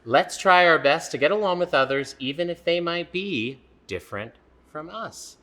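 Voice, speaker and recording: Bright, very animated male children's storyteller. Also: a little high, normal speed. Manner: emphatic, exclamatory, addresses children directly. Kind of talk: animated read-aloud for young children.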